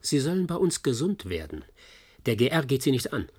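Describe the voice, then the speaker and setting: Husky, earnest male scientist. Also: mid-range voice, sing-song, brisk, a little mellow. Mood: firm, objecting. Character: principled, earnest male dissenting scientist caring for patients. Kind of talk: German radio play acting.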